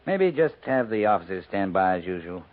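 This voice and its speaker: A man playing a steady cavalry captain in a 1950s radio western, laconic, dutiful and understated. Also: low voice, brisk, slight background noise. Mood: wry. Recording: muffled vintage 1950s radio recording.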